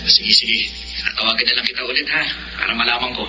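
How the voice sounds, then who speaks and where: A man plays a caring, apologetic young Filipino man in a radio drama. His voice is medium in pitch, with music clearly playing behind it. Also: fairly even intonation, fast, natural voice, low-quality recording. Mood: apologetic, eager.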